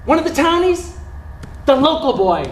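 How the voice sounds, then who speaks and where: A man's voice: very high voice, big pitch swings, moderate pace. A man playing an earnest, conversational doctor near sixty, a courteous former geek, in a live stage play. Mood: nostalgic, teasing. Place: indoor theater stage. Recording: distant, echoey theater stage with noise.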